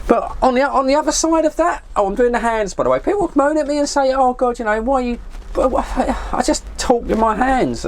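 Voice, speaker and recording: A middle-aged man with an English accent chats casually and ramblingly to viewers, self-deprecating and mildly sweary. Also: high-pitched. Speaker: hobbyist vlogger and CB radio enthusiast. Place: shed.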